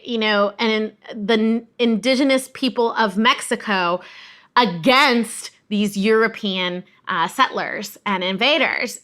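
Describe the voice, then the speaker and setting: Woman, bright forceful voice, conversational expert guest who explains with emphasis and a teacherly bent. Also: fairly high pitch. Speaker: guest expert, museum director of education. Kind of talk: explanatory conversational history telling.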